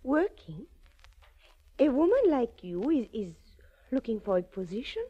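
An actress playing a genteel, anxious dress-shop madame in a 1940s radio drama, formal and refined. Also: female voice, a little high, sing-song, unhurried, slightly rough, full character voice. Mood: puzzled, indignant. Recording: muffled 1940s radio broadcast recording.

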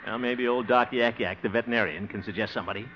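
A man's voice: medium pitch, fast, slight background noise, natural voice. An actor playing a blustering, gullible middle-aged small-town man in a hammy 1950s radio comedy. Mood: musing, grumbling. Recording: muffled old 1950 radio broadcast.

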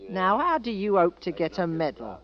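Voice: medium pitch, big pitch swings, normal speed, natural voice. A performer playing a cheeky, wary young army drummer boy with a Cockney accent, wry and teasing, in a radio drama. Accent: Cockney British. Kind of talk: 1940s-50s radio drama acting. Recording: dull old band-limited radio recording.

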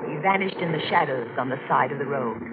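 A woman's voice: a bass voice, animated, quick pace. A woman playing a tense, haughty married woman in the dramatic, clipped style of 1940s radio drama. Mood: suspenseful, haunted. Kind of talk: 1940s radio drama acting and first-person narration. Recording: noisy, muffled 1940s radio recording.

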